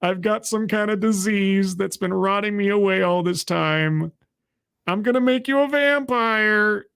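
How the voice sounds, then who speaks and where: Man playing ancient rotting vampire god, high-pitched, bored, whiny, drawn-out complaints, resigned, casual comic retelling. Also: gravelly, fairly bright tone, smooth, full character voice. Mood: weary, whiny.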